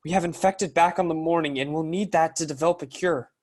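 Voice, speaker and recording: A man's voice: on the high side, steady tone, fast talker, darkish tone, close to an everyday voice. A man playing a brave young fighter pilot with an earnest, urgent, commanding delivery.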